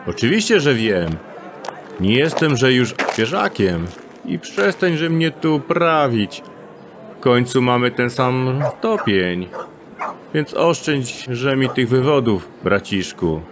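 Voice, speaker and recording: An actor playing a cocky male thief in an audio drama, who talks in an odd, old-fashioned way over a clearly heard street ambience. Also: medium pitch, expressive, slow, noisy background.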